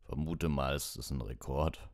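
A man's voice: very deep voice, moderate pace, a little mellow, no echo, clean studio sound. A man voicing a huge, drunk, dejected groundskeeper in a storybook, with a thick, slurred voice. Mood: gloomy. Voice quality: thick, muffled voice.

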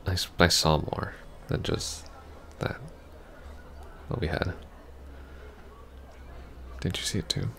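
A man playing a heartbroken, apologetic ex-boyfriend in an intimate ASMR roleplay, soft-spoken, halting and stammering. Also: low voice, fairly even intonation, creaky, a little hiss or hum, exaggerated voice. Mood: heartbroken, pleading.

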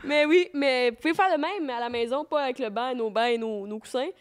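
A woman's voice: high-pitched, a little fast, slight background noise. A young woman with a Québécois French accent co-hosting a podcast, joking and swearing casually from a studio couch into a handheld mic. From Quebec. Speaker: podcast co-host. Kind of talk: casual chat.

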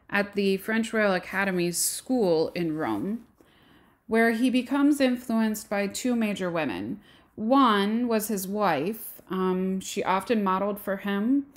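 A woman giving a recorded art history lecture over slides, pausing often with ums. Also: mid-range voice. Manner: slow, with frequent ums and pauses.

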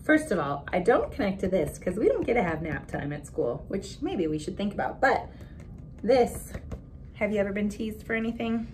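A woman in her thirties reads a storybook aloud to children in an animated, teacherly way at a middling pitch. She breaks off for casual questions. There is background noise and a low rumble on the recording. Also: moderate pace. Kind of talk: expressive picture-book read-aloud with casual asides.

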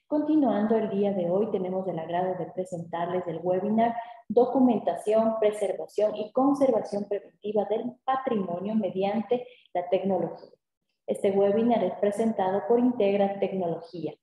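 A woman opens a webinar with a formal, polished introduction over a video call. Her voice is dark and mellow, and it rings out in a very echoey room. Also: medium pitch, moderate pace, dull audio. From Ecuador. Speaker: webinar moderator. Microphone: video call webcam.

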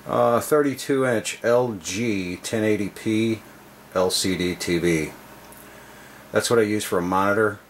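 A man's voice: on the low side, unhurried, a little hiss or hum. A man giving a casual, offhand room tour on a handheld camera, talking in salty, folksy, self-deprecating slang. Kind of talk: casual offhand vlog narration. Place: small home gun room, indoors. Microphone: handheld camera, operated by the speaker.

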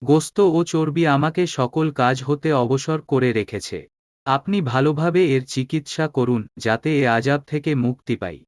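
A man voicing an ailing, overweight and wealthy vizier in an animated moral tale, with a formal, authoritative manner. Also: mid-range voice, unhurried, no room sound, studio quality, natural voice. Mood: weary, pleading. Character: ailing, obese, wealthy male vizier, commanding.

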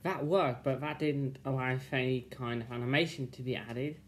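A young man giving casual, unscripted video commentary in a halting way, thinking aloud. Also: medium pitch, steady tone. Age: young adult. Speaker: video creator commenting on viewer feedback. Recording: hissy home recording.

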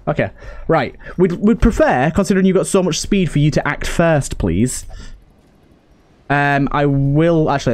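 A man's voice: medium pitch, a little mellow. A man giving casual let's play commentary, muttering to himself as he thinks through his moves. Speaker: gaming video host. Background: game music.

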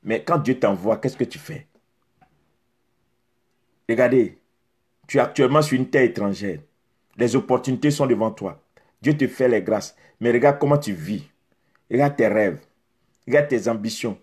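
A man's voice: mid-range voice, normal speed, long pauses, a little mellow, breathy edge. A middle-aged man, preaching a sermon straight to the camera, exhorting with rhetorical questions and emphatic repetition. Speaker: pastor. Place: indoors in front of a beach backdrop.

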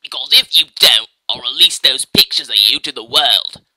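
Someone doing a pompous, sharp-voiced postman. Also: medium pitch, expressive, moderate pace, dry recording, low-quality recording. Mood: pompous, blunt. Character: pompous, blunt male postman bird-man. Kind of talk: amateur parody dub of a video game.

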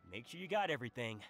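An actor playing a cheerful, generous male food stall keeper in a video game, hearty in manner. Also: mid-range voice. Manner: hearty, chuckling.

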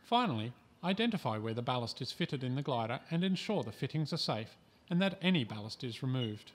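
A man from Australia reading a scripted training voice-over in a calm, measured, instructive way. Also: medium pitch. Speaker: instructional narrator.

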